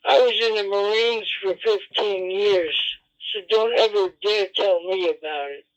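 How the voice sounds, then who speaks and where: A man's voice: high-pitched, unhurried, a little hiss or hum. A man arguing as an amateur radio operator, insistent and combative, repeating himself and swearing over a lo-fi digital radio link. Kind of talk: radio argument. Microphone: radio transceiver microphone. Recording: dull lo-fi digital ham radio transmission.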